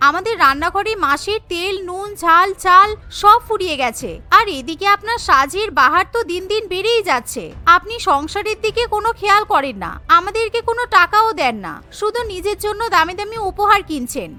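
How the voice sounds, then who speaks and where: Casting: actress as scolding young daughter-in-law, cartoon. Very high, bright, accusing. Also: female voice, animated, a little fast, full character voice. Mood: indignant. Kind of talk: Bengali cartoon voice acting.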